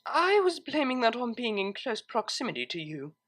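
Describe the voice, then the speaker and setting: A woman voicing a refined young mare cellist in a story, prim and shy, with a gently teasing affection. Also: a little high, sing-song, moderate pace, voice pushed up. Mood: flustered, coy.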